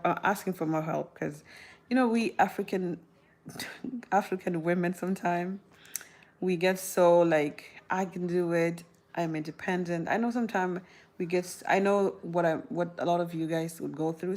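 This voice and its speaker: Woman, 30s, from South Sudan, chatty vlog Q&A delivery; low, gravelly tone. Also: darkish tone. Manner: chatty, laughs often, rambling restarts. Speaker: vlogger answering viewer questions. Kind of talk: casual talk to camera.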